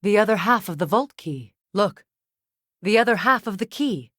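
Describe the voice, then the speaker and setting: A woman voicing a composed, wry, middle-aged warrior goddess, a measured and knowing companion in a video game. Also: medium pitch, animated, a bit bright, dry recording, studio quality. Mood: knowing, gentle.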